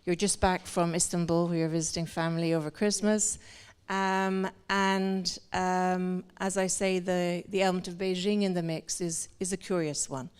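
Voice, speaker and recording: A woman's voice: on the low side, flat delivery, moderate pace, a little mellow, clean tone. A woman moderating an art talk in a semi-formal way before an audience, speaking in long, winding, hesitant sentences. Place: indoor talk venue with an in-person audience.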